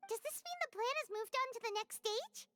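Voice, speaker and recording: Tiny fairy game companion: piercingly high, childlike, chirpy, very animated delivery with music behind. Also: female voice, a little fast, heavily acted. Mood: curious, pleased. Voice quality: squeaky, childlike. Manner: refers to self in third person, expressive. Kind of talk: video game voice acting.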